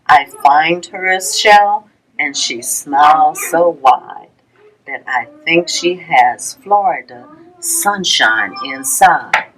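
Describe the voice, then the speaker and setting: Lady doing library storytime, reading a rhyming kids book real slow and sing-songy, voice a bit on the low side. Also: animated, a bit bright, rough recording. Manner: measured, sing-song rhyming delivery with pauses. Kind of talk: reading a rhyming children's picture book aloud.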